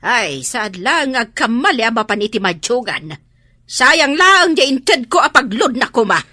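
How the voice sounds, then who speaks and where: An exasperated mother in a radio drama. Her voice is forceful and very expressive in pitch, recorded dry. She nags and reproaches as she speaks. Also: a little slow, dry recording, full character voice. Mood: worried. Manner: nagging, reproachful. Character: exasperated scolding mother of a gambling grown daughter. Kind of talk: Ilocano radio drama acting.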